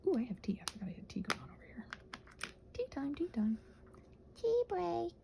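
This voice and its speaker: Animated, creaky-voiced woman rambling casually. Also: sits high, fairly quick, noisy background. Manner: chatty, digressive, whimsical. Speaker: craft vlogger. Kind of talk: casual rambling chat while crafting.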